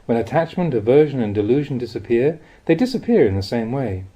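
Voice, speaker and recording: A man reads Buddhist teachings as an audiobook. His voice is mellow and a little husky, with a mid pitch and lively rises and falls. The delivery is measured and explanatory. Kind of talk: audiobook reading of a spiritual talk.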